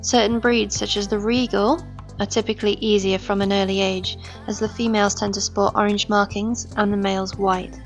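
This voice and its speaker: A hoarse young woman, hosting her own channel and calmly explaining how to care for a pet. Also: medium pitch, normal speed, faint music behind. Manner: steady explanatory delivery. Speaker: pet-keeping channel host. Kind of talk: informative pet-care narration. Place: home room with reptile tanks.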